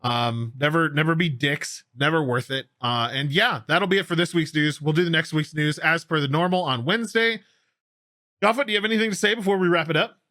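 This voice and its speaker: A man's voice: fairly high pitch, brisk, pushed and bright, no echo, clean studio sound. A man hosting a gaming news show, giving casual commentary in a talkative, explanatory, informal way.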